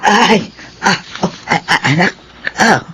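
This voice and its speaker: A woman's voice: mid-range voice, lively intonation, a little slow, pushed and bright, slight background noise. An actress playing a timid, self-effacing grandmother of seventy in a radio drama, speaking in a hesitant, fretful way. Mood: anxious. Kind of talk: emotional radio drama acting.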